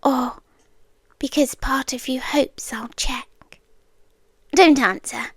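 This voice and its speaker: A woman performs a yandere girlfriend for ASMR roleplay, a British woman with a high, hushed voice. She speaks very slowly and deliberately, drifting into whispers. The sound is dry and intimate, and her tenderness carries a calm, obsessive menace. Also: slightly rough, no echo, full character voice. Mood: tender, ominous. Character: soft-spoken obsessive girlfriend stalker, calm, coolly intense, yandere.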